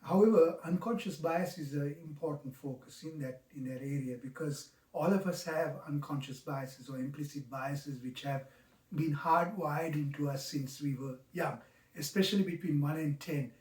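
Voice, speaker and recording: A man in his sixties with a Sri Lankan accent gives a reflective, explanatory talk in an earnest, halting manner, stressing key words. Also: a little high, a little mellow. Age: 60s. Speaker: mindfulness teacher. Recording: roomy, echoing, distant microphone.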